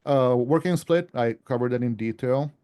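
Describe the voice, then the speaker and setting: A man's voice: medium pitch, normal speed, warm tone, clean tone. A man giving an informal slide presentation as an author, speaking in a conversational, self-deprecating way.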